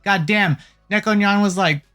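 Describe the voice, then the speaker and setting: A host delivering casual gaming news commentary in an irreverent, jokey way, swearing casually, with a medium-pitched voice. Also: normal speed, fairly bright tone. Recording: music playing behind the voice. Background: background music.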